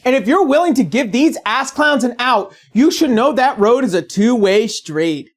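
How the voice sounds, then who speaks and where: A woman's voice: on the high side, lively intonation, moderate pace. A woman delivering scripted, opinionated commentary as a video essayist, in a sarcastic, blunt and profane manner.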